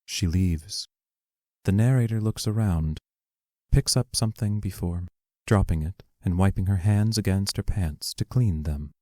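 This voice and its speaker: A man with a slightly low voice narrates an audio play, reading out the stage directions. The sound is very dry and the delivery is scripted. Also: a little slow, dark tone, dry recording.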